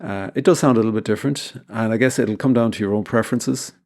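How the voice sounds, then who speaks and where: A man with a low, gravelly voice talks through an informal software tutorial. He hosts it himself, explaining each step in a conversational way, with noticeable fry in his delivery. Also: quick pace. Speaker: tutorial host.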